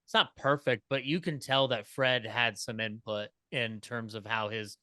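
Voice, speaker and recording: A man's voice: mid-range voice, steady tone, moderate pace, pushed and bright, clean tone, dry recording, clean studio sound. A man chatting casually as a panelist, rambling and repeating words as he works out his point.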